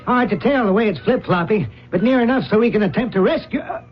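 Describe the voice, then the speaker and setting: Man playing a gruff American skipper dad. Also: high voice, quick pace, background noise, close to an everyday voice. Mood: alarmed. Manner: gruff, blunt. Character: gruff, grumbling American father, fishing boat skipper. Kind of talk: radio drama acting. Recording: muffled old radio broadcast.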